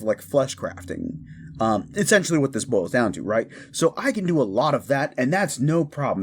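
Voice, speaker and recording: Man playing a giddy, rambling necromancer, swooping pitch. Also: breathy edge. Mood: excited, rambling. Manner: rambling, stammering, self-correcting, excitable. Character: giddy, mischievous male necromancer, chatty and gleefully rambling. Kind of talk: comedic audio roleplay addressed to the listener.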